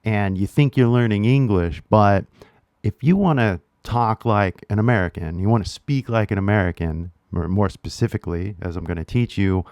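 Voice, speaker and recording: A young man with a relaxed Californian accent talks through a lesson on the mic, easygoing and slangy, tossing in "right?" every few lines. His voice sits a little low and comes across smooth and resonant. The sound is dry and close. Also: normal speed, no echo. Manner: laid-back, slangy, keeps asking "right?". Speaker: language teacher. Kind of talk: casual lesson to camera.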